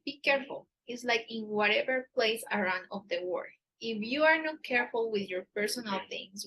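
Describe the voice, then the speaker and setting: A woman's voice: a little high, sharp tone, low-quality recording. A Colombian woman with a Paisa accent, a native Spanish speaker, giving earnest advice on a live Q&A in careful English full of fillers. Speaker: dating agency staff on a live Q&A.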